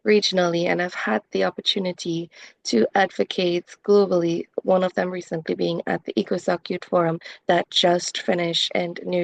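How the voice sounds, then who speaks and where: A woman's voice: on the low side, gravelly, dry recording, dull audio. A young woman, a youth advocate on a panel, answering in a fluent, composed and reflective way. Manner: fluent and composed, steady flow.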